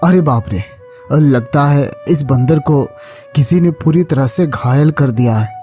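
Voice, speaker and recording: A man's voice: fairly high pitch, unhurried, warm tone, soft background music, lightly acted. A man voicing a kind-hearted, caring village farmer in a cartoon story, earnest and exclamatory. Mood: concerned, pitying. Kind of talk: Hindi cartoon moral story acting.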